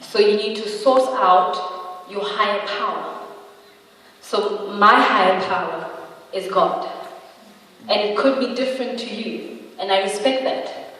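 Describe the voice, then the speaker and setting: A woman's voice: medium pitch, slow, long pauses, fairly bright tone. A woman in her twenties giving a chatty, humorous motivational talk to an audience, often checking in with tag questions. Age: 20s. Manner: humorous, laughs at own jokes. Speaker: motivational speaker. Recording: echoey hall, voice from far off.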